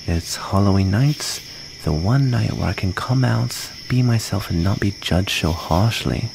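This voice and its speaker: Casting: male actor as gentle outcast young vampire for ASMR roleplay, deep voice, slow halting delivery, shy and wounded. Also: gravelly, heavily acted. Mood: hurt, vulnerable. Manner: hesitant, halting, soft-hearted delivery. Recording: noisy, cricket ambience behind.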